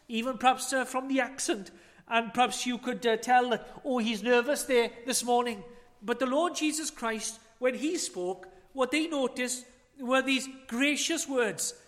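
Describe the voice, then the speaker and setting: A man preaching a chapel sermon, earnest, with rhetorical questions and swells and drops in loudness. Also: very high-pitched, normal speed. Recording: long hall reverb.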